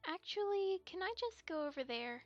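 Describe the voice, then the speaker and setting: A woman's voice: very high voice, lively intonation, normal speed, highs cut off, soft background music. A woman playing an earnest, slightly defensive young unicorn filly with a childlike, hesitant manner in an amateur audio drama. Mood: childlike complaint.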